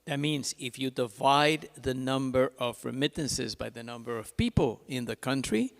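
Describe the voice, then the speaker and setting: Gravelly-voiced man moderating in an echoing hall. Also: medium pitch, moderate pace. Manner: chatty, repeats words as he goes. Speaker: panel moderator. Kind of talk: informal conference moderation. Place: large conference hall. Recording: reverberant hall, PA sound.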